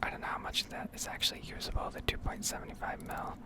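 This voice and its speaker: A woman whispering breathily in an unhurried, rambling ASMR gaming commentary, thinking aloud close to the microphone. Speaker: gaming ASMR video host.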